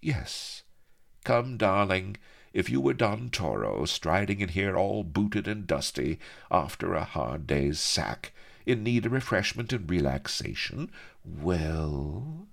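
A languid pirate seductress from a swashbuckling storybook, acted out by a male reader. The delivery purrs and pouts, swooping about in pitch with a coy, dreamy air and an imperious edge. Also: sing-song, slow, vocal fry, exaggerated voice. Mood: wanton coaxing. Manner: dreamy, pouting, purring delivery. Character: languid, flirtatious pirate woman, purring and imperious.

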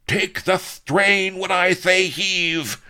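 A man's voice: medium pitch, big pitch swings, slow pace, fairly bright tone, pitched above the performer's own voice, exaggerated voice. A man voicing a bombastic, bloodthirsty Spanish villain lord in an adventure story, with pompous, bellowing, theatrical ranting.

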